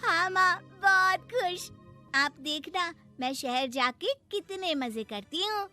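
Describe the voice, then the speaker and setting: A woman's voice: extremely high pitch, lively intonation, slow, pushed and bright, pitched above the performer's own voice, heavily acted. A woman voicing a naive, eager and stubborn village schoolgirl in a moral-story cartoon. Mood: eager, pleading. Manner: eager, wide-eyed questioning, pleading. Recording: music behind the voice.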